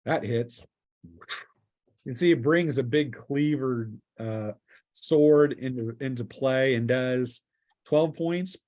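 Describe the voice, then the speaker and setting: A man's voice: medium pitch, slow, darkish tone. A man speaking casually as game master, narrating a tabletop role-playing game with vivid sound-effect words.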